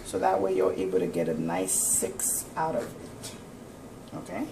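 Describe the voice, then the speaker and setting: A woman's voice: sits low, very animated, moderate pace, creaky, echoey room. Woman, home cooking host, casual and instructive, distant camera mic, sizzling pan and kitchen noise behind. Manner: chatty, instructive, talks while cooking. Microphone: camera mic from across the kitchen.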